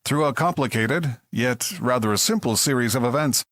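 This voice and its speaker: Man as a lively, portentous comedy sci-fi narrator. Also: medium pitch, normal speed, no room sound, clean studio sound, natural voice. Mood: mock-serious. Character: grandly mock-serious male sci-fi narrator. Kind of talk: scripted comedy drama narration.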